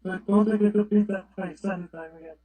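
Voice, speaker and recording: A man from Canada with a high-pitched voice talks on a radio club net. His style is casual and anecdotal, like a hobbyist chatting with friends. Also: fairly even intonation, fast, mellow. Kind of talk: casual chat, telling an anecdote.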